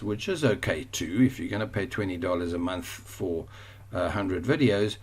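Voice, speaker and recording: A man with a South African accent teaching an online course over a screen share, rambling and often correcting himself. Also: fairly low pitch. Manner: rambling, self-correcting, chatty.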